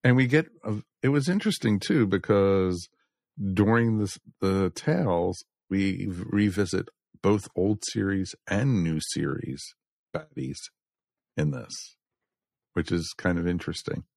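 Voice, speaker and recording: A man's voice: deep voice, expressive, unhurried, vocal fry, a little mellow, no echo, clean studio sound. A man chatting casually as a co-host on a review podcast. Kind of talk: casual chat.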